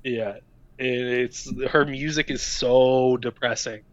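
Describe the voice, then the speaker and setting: A man co-hosting a casual podcast, relaxed and profane. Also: medium pitch, takes it slowly, dry recording, lo-fi sound. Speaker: podcast co-host. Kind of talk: casual chat.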